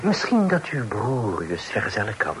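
An actor playing a courteous, eager young gentleman in a period radio drama, with florid, formal politeness. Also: fairly low pitch, big pitch swings, normal speed. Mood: gallant, eager. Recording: faintly noisy old radio play recording.

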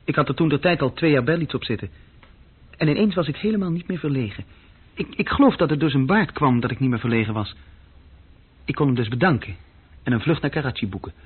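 A restless young man in a radio play, telling his story conversationally. His voice is husky and mid-pitched. The recording is dull and lo-fi, like an old broadcast. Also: expressive, fast. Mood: earnest, confiding.

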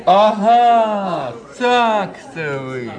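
An actor playing a wry, curious doctor in a radio play, speaking in a drawling, bantering way. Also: male voice, high voice, animated, very slow, a crowd in the background, full character voice. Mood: sly, curious. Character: wry, curious doctor, the officer's sly confidant. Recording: music playing behind the voice.

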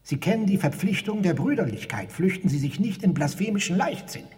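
An actor playing a fearful, self-abasing car park attendant who pleads in formal, stilted phrases, in a German radio drama. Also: male voice, high-pitched, lively intonation, brisk, a little mellow. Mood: pleading, self-abasing. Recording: long hall reverb.

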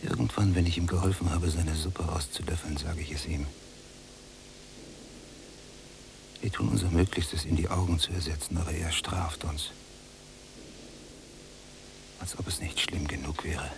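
A man's voice: low voice, fairly even intonation, moderate pace, creaky, noisy background. An actor playing a younger male prisoner in a radio drama, restless, resentful and despairing, given to brooding complaint. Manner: brooding, complaining, sometimes muttering. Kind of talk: literary radio drama acting.

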